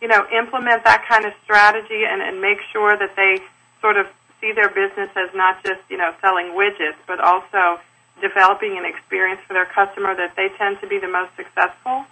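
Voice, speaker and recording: Bright-voiced woman host asking rambling questions. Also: medium pitch, flat delivery, brisk, rough recording. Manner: rambling questions full of fillers. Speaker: interview host. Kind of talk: casual interview questions.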